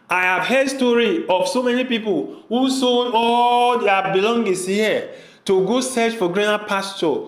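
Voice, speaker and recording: Echoey room, man acting as earnest Nigerian village brother, high-pitched pleading, audio drama. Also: a bit bright. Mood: cautioning. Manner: pleading, repetitive rhetorical questions.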